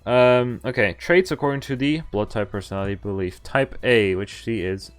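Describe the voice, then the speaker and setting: Low-pitched man reading a game aloud. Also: normal speed, smooth. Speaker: streamer reading a visual novel aloud. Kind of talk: game playthrough reading with casual asides. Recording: background game music behind voice. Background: game music.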